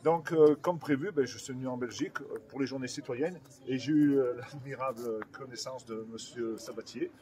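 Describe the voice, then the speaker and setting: A man's voice: medium pitch, moderate pace, few pauses, mellow. A man, a farmer speaking colloquially and earnestly as he hosts an informal live interview. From France.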